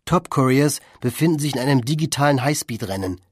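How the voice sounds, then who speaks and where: A man delivering scripted, factual documentary narration in a measured manner. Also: mid-range voice, animated, husky, dry recording, studio quality. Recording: music behind the voice.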